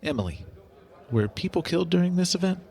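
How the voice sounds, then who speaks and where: An actor playing a clinical, curious non-human researcher in an audio drama, formal and precise, fascinated by humans in a detached way. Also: male voice, high-pitched, very animated, brisk, gravelly, darkish tone, heavily acted. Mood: detached fascination.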